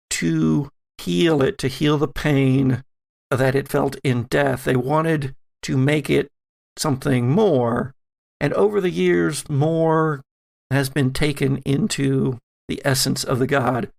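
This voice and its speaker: A man voicing a slow, creaky, solemn grandmother. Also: mid-range voice, fairly even intonation, darkish tone. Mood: solemn, foreboding. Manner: halting, weighty pauses. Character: solemn old grandmother, grave mystic pleading for sacrifice.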